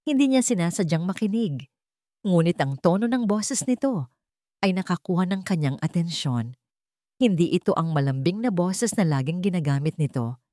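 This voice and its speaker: A woman's voice: fairly low pitch, lively intonation, moderate pace, dark tone, dry recording, clean studio sound. A woman reading a scripted dramatic story as its narrator.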